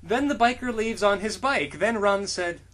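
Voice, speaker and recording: A man's voice: high voice, moderate pace, fairly bright tone. A man co-hosting a comedy podcast, joking, swearing and laughing often as he reads along. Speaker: podcast co-host. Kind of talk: casual comedic banter.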